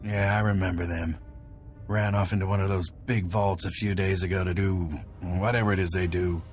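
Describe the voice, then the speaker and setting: A man's voice: sits low, little pitch movement, moderate pace, a little mellow, faint music behind, natural voice. A man voicing a nervous, bitter, resigned prison guard in a game cutscene. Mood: grudging.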